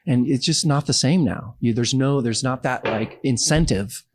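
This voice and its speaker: A man's voice: medium pitch, normal speed, noisy background. A man from Los Angeles with an American accent, talking casually and reflectively as an interview guest. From Los Angeles, United States.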